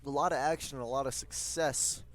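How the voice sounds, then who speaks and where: A man's voice: medium pitch, fairly even intonation, a little fast. A man giving conversational live analysis as color commentator on a baseball radio broadcast.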